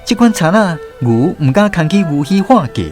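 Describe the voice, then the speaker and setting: A man's voice: sits high, big pitch swings, moderate pace, a little mellow, faint music behind. A man with a Taiwanese accent narrating a scripted radio drama as a storyteller.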